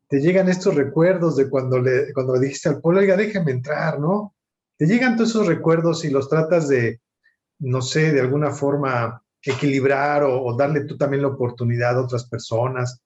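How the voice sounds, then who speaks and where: A man's voice: mid-range voice, darkish tone. A man, an interviewer asking long, rambling questions with fillers. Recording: dull lo-fi recording.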